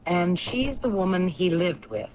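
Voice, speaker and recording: An actor playing a questioning police lieutenant in a 1970s radio drama. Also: male voice, sits high, lively intonation, a little slow, a crowd in the background. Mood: probing. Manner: probing, questioning. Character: probing male police lieutenant. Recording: dull lo-fi 1970s radio recording.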